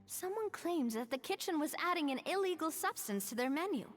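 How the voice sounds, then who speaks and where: A woman voicing a friendly, composed young woman who explains things in a measured way in a video game. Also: sits high, animated, in a large hall. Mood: explanatory. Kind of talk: anime-style video game voice acting.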